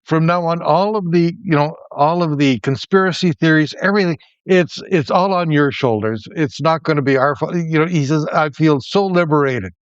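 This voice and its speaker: A middle-aged man from Canada with a smooth, resonant voice, telling stories and pausing to restart his sentences. The recording is dry and a little dull, with no room sound. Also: a little high, dry recording. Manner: reflective storyteller, many restarts. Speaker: podcast co-host, former professor. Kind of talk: casual conversation, storytelling.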